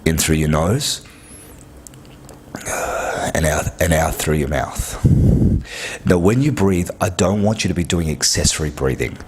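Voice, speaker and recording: A man's voice: rumbling low, expressive, unhurried, long pauses, slight background noise. A man who works at a health clinic gives an earnest, direct breathing lesson, coaching step by step and often repeating himself. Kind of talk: instructional talk to camera.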